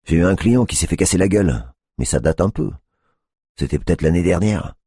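A man's voice: low-pitched, lively intonation, a little fast, dark tone, breathy edge, dry recording, studio quality, voice pushed up, exaggerated voice. A man putting on a jittery, foul-mouthed addicted woman. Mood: grudging. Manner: mocking, aggressive, swearing. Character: agitated drug-addicted female sex worker, foul-mouthed, hostile.

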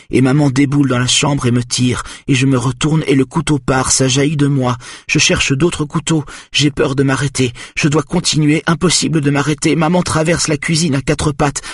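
A man's voice: medium pitch, breathy edge, dry recording, studio quality, voice pushed up. A man reading an audiobook voices a traumatized teenage boy under hypnosis, his words frantic and plaintive. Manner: frantic, breathless, rising panic.

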